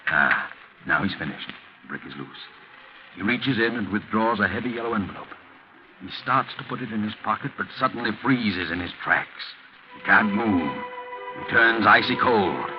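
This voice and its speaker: An actor playing a sinister all-knowing storyteller in a 1940s radio thriller, with suspenseful, clipped phrasing. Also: male voice, on the low side, moderate pace, natural voice. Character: sinister male omniscient storyteller addressing characters. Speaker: narrator. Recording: muffled 1940s radio recording with music.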